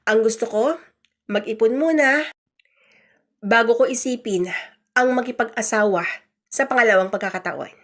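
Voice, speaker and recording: A woman with a fairly high voice narrates a life story in the first person. The delivery is scripted and dramatic, in the manner of radio storytelling. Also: moderate pace, long pauses, a bit bright. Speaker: storyteller reading a letter sender's story.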